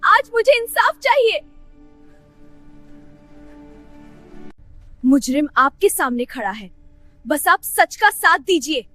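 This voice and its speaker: Here is a woman acting as a brave, quick-witted village girl from a moral tale. Her voice is high and youthful, respectful yet firmly determined, with soft music underneath. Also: sing-song, no echo, exaggerated voice. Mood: defiant. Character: brave, clever young village farmer's daughter. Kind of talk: dramatized moral story dialogue.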